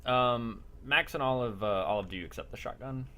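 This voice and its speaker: A man's voice: mid-range voice, fairly quick, pushed and bright. A man acting as game master, narrating a role play in a blunt, casual way and sometimes swearing. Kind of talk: narrating a live tabletop-style role play. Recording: noisy online voice chat.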